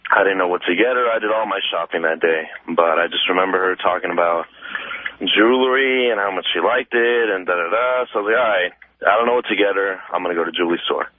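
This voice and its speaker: A man's voice: low-pitched, fast. A young man calling a radio show, telling a rambling, self-deprecating story in casual speech. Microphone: telephone. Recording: narrow dry phone line.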